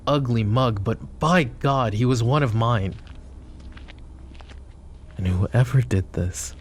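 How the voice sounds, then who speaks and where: Male VA as a possessive mafia boss, very deep and gravelly, soft intimate delivery, faint music underneath. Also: slight background noise. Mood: grim. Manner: soft, intimate, addresses listener directly. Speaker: ASMR roleplay voice actor. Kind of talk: boyfriend ASMR roleplay.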